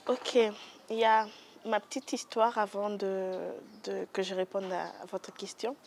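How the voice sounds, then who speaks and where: A young woman with a Congolese French accent answers interview questions in a studio. She speaks softly and hesitantly, filling pauses as she goes. Faint background noise runs under the recording. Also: mid-range voice, steady tone. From Democratic Republic of the Congo. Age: young adult. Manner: hesitant, many fillers, soft-spoken. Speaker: guest photographer.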